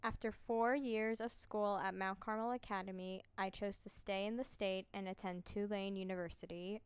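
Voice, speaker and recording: A young woman from the New Orleans area reads her own essay in a calm, steady voice. Her tone is very crisp and clean. The recording is dry and close, with no room sound. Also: mid-range voice, moderate pace, dry recording. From New Orleans area, USA. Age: young adult. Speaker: student. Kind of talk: scripted personal narration.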